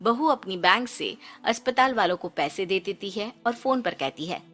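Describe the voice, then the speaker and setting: Bright-voiced woman narrating a story. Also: medium pitch, animated, normal speed, faint music behind.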